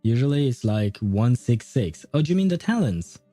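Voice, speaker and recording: A man's voice: mid-range voice, very animated, moderate pace, mellow, no echo, soft background music. A man livestreaming a video game, chatting casually with viewers in a conversational, meandering way.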